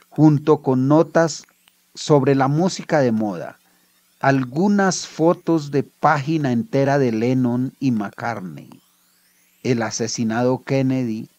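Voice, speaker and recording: A man with a Colombian accent reads literary fiction as its author. His pitch sits in a medium male range. The recording is dry, with very little room sound. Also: a little mellow, dry recording. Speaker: writer reading his own short story. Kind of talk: literary story reading.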